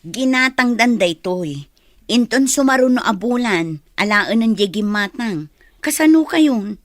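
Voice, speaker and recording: A woman's voice: fairly high pitch, animated, unhurried, no room sound, clean studio sound. An actress playing an insistent, critical and worried female relative in a radio drama. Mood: nagging, concerned. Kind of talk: radio drama acting in dialogue.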